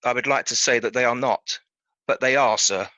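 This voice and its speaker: Man as formal charity gentleman, polite, earnest, crisp bright tone, dry sound, radio drama. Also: medium pitch, normal speed, no echo, lightly acted, low-quality recording. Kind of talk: radio play acting.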